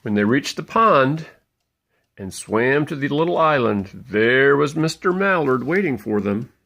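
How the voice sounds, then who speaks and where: A man reading a picture book aloud to young children, playful and expressive, pausing to point things out. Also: medium pitch, vocal fry. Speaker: parent reading a bedtime story aloud.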